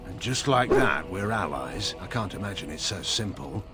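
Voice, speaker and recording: Veteran male warrior noble, middle-aged, sardonic, weary, proud, grave formal phrasing, very expressive intonation, fantasy game dialogue, music behind. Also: deep voice, moderate pace. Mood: guarded, sardonic.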